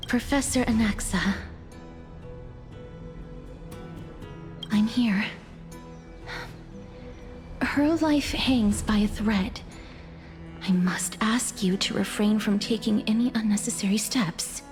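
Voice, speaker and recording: A woman's voice: mid-range voice, slow, long pauses, a little mellow, faint music behind, close to an everyday voice. An actress playing a resolute, selfless young woman in a video game, speaking formally and earnestly with composure. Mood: composed, firm. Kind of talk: dramatic video game voice acting.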